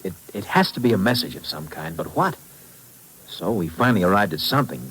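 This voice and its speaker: An actor playing an earnest, halting but insistent male informant in a 1950s radio drama. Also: low voice, sing-song, fairly bright tone. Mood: earnest, hesitant. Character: earnest male informant offering a hesitant hunch. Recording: noisy vintage 1950s radio recording.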